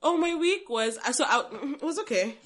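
A woman's voice: high-pitched, lively intonation, a little fast, a loud crowd. A woman co-hosting a podcast, chatting casually with a giggly, hesitant manner. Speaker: podcast host. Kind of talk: casual chat.

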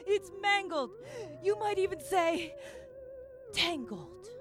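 A woman's voice: extremely high pitch, animated, slow, background noise, full character voice. A woman playing an outraged, melodramatic salon customer in a comedy spoof. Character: shrieking outraged salon customer with ruined hair.

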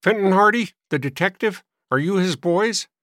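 A man voicing an irritable, suspicious old gentleman in an audiobook, testy and scoffing. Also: high-pitched, lively intonation, moderate pace, dry recording, clean studio sound, pitched above the performer's own voice. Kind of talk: audiobook character voice.

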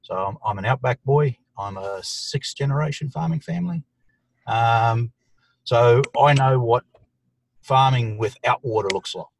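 A man's voice: mid-range voice, unhurried, a little hiss or hum, low-quality recording. A man from the outback, a technical expert who answers questions in a plain, practical way with "um" fillers, recorded inside a vehicle. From far western New South Wales, Australia. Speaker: irrigation technical manager, guest expert. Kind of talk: interview answers.

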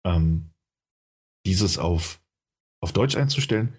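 Low, husky-voiced man, casual chat, rambling with fillers, game talk. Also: lively intonation, slow pace, darkish tone. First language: German. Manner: rambling, many fillers and restarts. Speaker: game reviewer.

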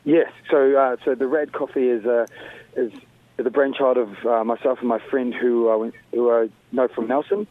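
A man from Sierra Leone speaks over a thin, tinny phone line. He talks in a measured, thoughtful way and often pauses to find the next word. His voice sits at an ordinary middle pitch. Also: little pitch movement, dry recording. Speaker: caller. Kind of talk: phone interview. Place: at a hockey game.

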